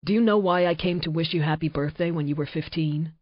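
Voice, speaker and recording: A deep, intense shapeshifter man with a possessive streak speaks low and hushed, as a woman narrator puts him on. The voice sits dark and heavy. The recording is dry and slightly dull, like a close audiobook read. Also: quick pace, no echo. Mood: tender. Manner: low hushed passionate delivery.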